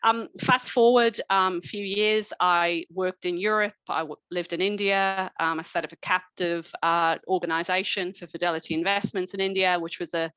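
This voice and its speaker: Woman, 40s, mentoring-event speaker; reflective, hesitant delivery, low pitch, muffled dry recording. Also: fairly even intonation, normal speed, hardly any pauses, a bit bright, clean tone, no room sound. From New Zealand. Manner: reflective, many ums and restarts. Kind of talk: personal career talk. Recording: dull lo-fi sound.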